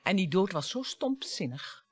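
A woman's voice: mid-range voice, very animated, moderate pace, a little mellow, dry recording. A woman in a Dutch radio play acting a bitter, sarcastic wife who needles her husband in a theatrical, storytelling way. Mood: bitter, sardonic.

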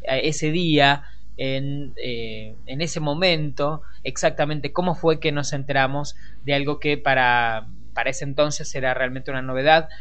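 An Argentine man with a Rioplatense accent hosting a conversational radio news show, improvising with frequent hesitant pauses. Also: mid-range voice, steady tone, fairly bright tone. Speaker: radio host.

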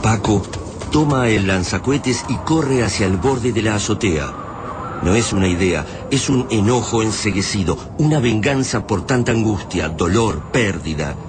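A man's voice: fairly low pitch, animated, moderate pace. A man with an Argentine accent giving dramatic scripted narration for a radio drama. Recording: clearly noisy radio drama track.

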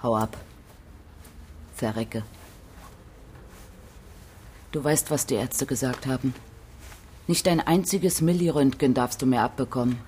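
A man's voice: medium pitch, moderate pace, long pauses, a little mellow, a little hiss or hum, natural voice. An actor playing a bitter, wounded man in a German radio drama, speaking harshly.

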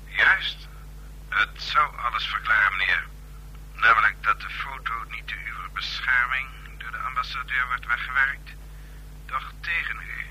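An actor playing a discreet, calculating aide in a radio drama, speaking with formal, precise courtesy. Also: male voice, mid-range voice, steady tone, a little slow, pushed and bright. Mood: calculating, measured. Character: male discreet, deferential, calculating fixer and aide. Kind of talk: Dutch radio drama acting. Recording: noisy radio drama recording with hum.

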